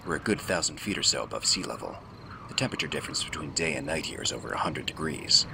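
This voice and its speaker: A gruff, stoic veteran soldier in a radio drama. His voice is extremely low and gravelly, terse and dry yet varied in pitch, with clear noise behind it. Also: quick pace, exaggerated voice. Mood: dry, stern. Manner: curt, dry, deadpan.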